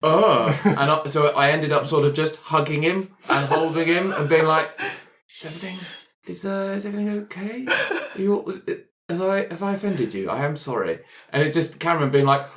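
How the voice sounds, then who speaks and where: A man's voice: a little high, moderate pace, fairly bright tone. A man talking casually and telling improvised story ideas in a tabletop roleplaying group, with a playful, wry manner.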